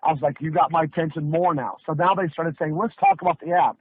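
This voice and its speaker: High-pitched man pitching over a muffled call. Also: fairly even intonation, quick pace, breathy edge, dry recording. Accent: North American. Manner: emphatic, hyped storytelling with rhetorical questions. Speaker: network marketing presenter. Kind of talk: sales pitch on a conference call. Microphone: phone or conference line. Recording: muffled, band-limited conference call audio.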